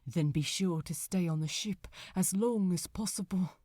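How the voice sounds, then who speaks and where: A woman voicing a grief-broken, courteous gentleman shipbuilder in an audiobook, speaking low and haltingly with a trembling tone. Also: dry recording, studio quality, close to an everyday voice. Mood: quiet, urgent. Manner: quiet, halting, formal.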